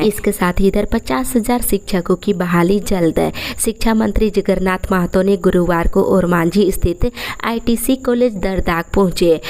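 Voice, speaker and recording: Woman reading a regional news bulletin. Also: medium pitch. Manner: steady headline-by-headline delivery with a set link phrase. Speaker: news reader.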